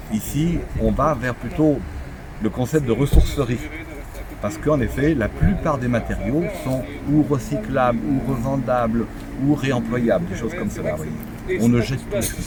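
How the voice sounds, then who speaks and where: A man's voice: medium pitch, rough recording. A middle-aged man with a French accent, a local official interviewed on site, talking with many fillers and restarts. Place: outdoor recycling center. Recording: outdoor crowd noise.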